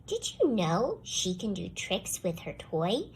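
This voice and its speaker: Animated schoolgirl storyteller, acted by a woman, noisy recording. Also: mid-range voice, slow, full character voice. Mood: indignant. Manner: expressive, complaining first-person storytelling. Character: jealous young schoolgirl, grudgingly softening toward the dog. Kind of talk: scripted children's storybook read-aloud.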